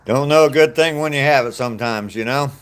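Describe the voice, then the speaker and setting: A man's voice: medium pitch, a little fast, clean tone. A man in his sixties from the United States, chatting casually with live viewers while out on a walk, reminiscing in an easygoing way and chuckling often. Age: 60s. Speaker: vlogger answering live chat. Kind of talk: casual rambling chat. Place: outdoors on a walk.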